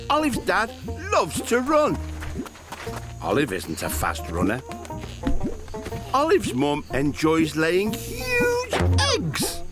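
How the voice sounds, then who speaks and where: Very expressive woman storybook narrator. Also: low voice.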